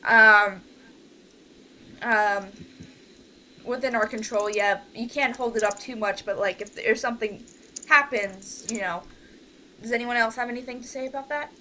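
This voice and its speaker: A woman's voice: on the high side, unhurried, pauses often, bright, forceful tone. A woman leading an informal online group meeting, talking in a hesitant, rambling way that often trails off. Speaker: director leading a voice cast meeting. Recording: noisy amateur voice-call recording.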